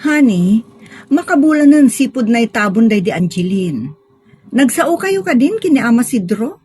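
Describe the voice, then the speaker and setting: A woman's voice: a little high, big pitch swings, normal speed, darkish tone, slightly rough. A woman acting a sweet, concerned wife. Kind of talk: radio drama acting.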